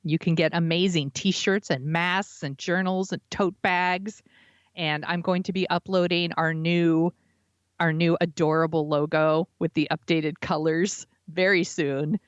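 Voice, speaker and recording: A woman's voice: fairly low pitch, fairly even intonation, measured pace, smooth, no room sound, highs cut off. A woman co-hosting a podcast in casual chat, with an informal, enthusiastic manner. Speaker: podcast co-host.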